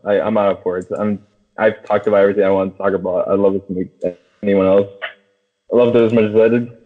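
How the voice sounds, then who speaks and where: A man's voice: lowish voice, level tone, quick pace. A young man co-hosting a podcast, chatting casually about film with enthusiastic, slangy, profane talk. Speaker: podcast co-host. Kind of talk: casual film discussion among friends. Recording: muffled phone-like radio sound, long reverb.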